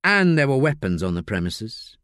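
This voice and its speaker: Give me a brisk woman police detective, firm and clipped, as a man reads her. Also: mid-range voice, big pitch swings, a bit bright, dry recording, clean studio sound.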